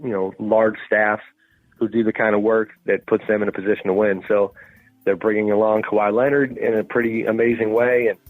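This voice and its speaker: A man's voice: fairly low pitch, flat delivery, brisk, narrow, tinny audio, soft background music. A man giving analytical sports commentary in a conversational, emphatic way. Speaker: sports podcast host.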